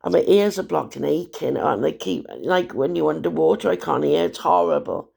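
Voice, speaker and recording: A woman, hoarse from a cold, talking in a despairing, rambling way about her troubles. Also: deep voice, expressive, moderate pace, mellow. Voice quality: gravelly. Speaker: vlogger. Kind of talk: rambling personal monologue.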